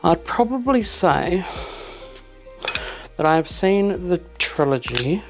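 A man's voice: sits high, takes it slowly. Man hosting a fan video, music under. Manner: relaxed, filler-heavy talk. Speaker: host. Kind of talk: casual chat to camera.

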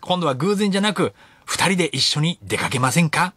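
A gentle young romantic lead, played by a man, lively and slightly high in pitch. He sounds friendly and earnest, as the admired senior of a radio drama. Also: fairly quick, loud music behind the voice, lightly acted. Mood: tender.

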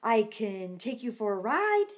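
Woman acting a giant helpful dinosaur, storybook read-aloud, enthusiastic, high voice. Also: big pitch swings, measured pace. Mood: playful.

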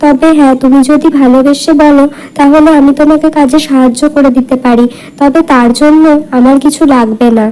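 Woman playing a humble village wife, high mellow voice. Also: fairly even intonation, brisk, a little hiss or hum, lightly acted, rough recording. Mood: firm. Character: humble, patient, proud poor village wife. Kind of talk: cartoon fairy-tale dialogue. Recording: music under the voice.

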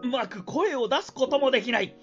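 An actor playing a boastful young ex-bandit samurai with an eloquent, rough-tongued, theatrical delivery in a radio drama. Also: male voice, extremely high pitch, lively intonation, fairly quick, a bit bright, muffled recording, soft background music. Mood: dramatic narration. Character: boastful, glib 25-year-old ex-bandit samurai.

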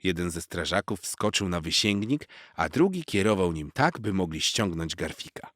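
A man's voice: low-pitched, expressive, normal speed, fairly bright tone, dry recording. A man narrating a children's story expressively from a script, close on the mic.

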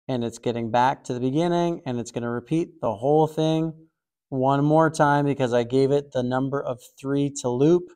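A man's voice: medium pitch, a little mellow. Casual man thinking aloud, coding tutorial. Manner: thinks aloud, frequent fillers and restarts. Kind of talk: casual screen-recorded walkthrough.

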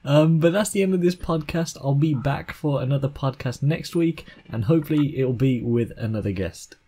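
A man's voice: mid-range voice, normal speed, dark tone, breathy edge. A man hosting a casual podcast, chiming in with short remarks and easy laughter. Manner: brief interjections and easy laughs. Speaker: podcast host. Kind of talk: casual chat.

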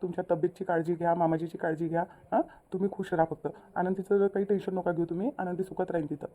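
A woman's voice: fairly low pitch, flat delivery, fast talker, phone-quality sound, natural voice. A woman voicing an earnest young bridegroom in a cartoon story, soothing and repetitive, with a Vidarbha Marathi accent. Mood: reassuring. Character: earnest, reassuring young bridegroom, polite son-in-law. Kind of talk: amateur cartoon story dialogue.

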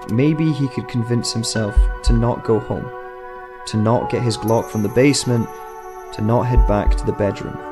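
A man reading a scripted short story as a dramatic narrator. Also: mid-range voice. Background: music.

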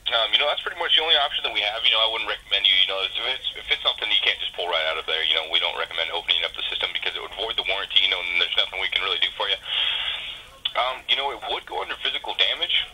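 A man's voice: lowish voice, racing, pushed and bright. A man working as a customer service agent on a phone call, rambling with lots of fillers. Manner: rambling, many "you know" fillers. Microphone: telephone line on speaker. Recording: phone line with hiss.

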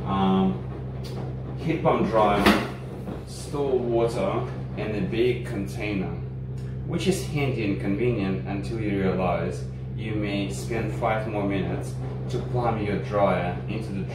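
Slow-talking man in an echoey laundry. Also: on the low side. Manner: unhurried, halting, with frequent restarts and fillers. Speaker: home appliance video maker. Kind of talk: casual how-to demonstration. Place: small laundry room indoors. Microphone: phone camera on selfie stick at arm's length. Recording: echoey room with washing machine noise.

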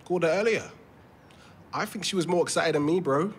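A man's voice: fairly high pitch, fairly quick, a little hiss or hum, lightly acted. An actor playing a young man in a naturalistic audio drama, matey and colloquial, prickly with his mum. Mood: upbeat, matey. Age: young adult.

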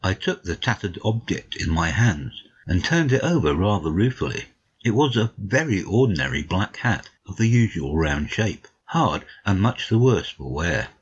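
Modest gentleman storyteller , played by a man: deep, husky, measured and courteous, audiobook on slightly muffled audio. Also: big pitch swings, heavily acted. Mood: measured, wry. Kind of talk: dramatic audiobook reading, storytelling.

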